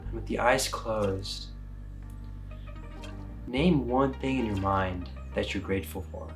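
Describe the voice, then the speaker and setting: A young man hosts a casual reaction video as a meditation coach. His delivery is energetic and upbeat, easing into calm when he leads a breathing exercise. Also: lowish voice, slow, faint music behind.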